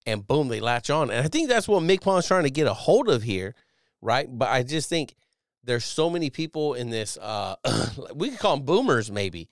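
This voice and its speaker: A man in his thirties hosting a podcast, talking casually as a Navy chief, loud and profane with a strong voice. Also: mid-range voice, normal speed, no echo, clean studio sound. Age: millennial, 30s. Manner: animated, profane, loud and emphatic. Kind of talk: casual solo podcast commentary.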